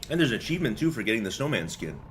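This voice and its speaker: A young man with a lowish voice that swings around a lot. He streams a game, talking casually in slang, joking and rambling over background music. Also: sing-song, very fast. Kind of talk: casual gameplay commentary and chat.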